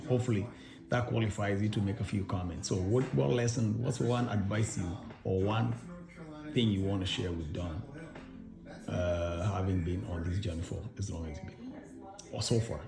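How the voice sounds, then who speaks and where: Halting man with a creaky, gravelly voice. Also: low-pitched, fairly even intonation, over music. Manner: halting, rambling, rephrases questions repeatedly. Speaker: interviewer and father questioning his child. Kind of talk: informal, unscripted interview. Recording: echoey room with people in background.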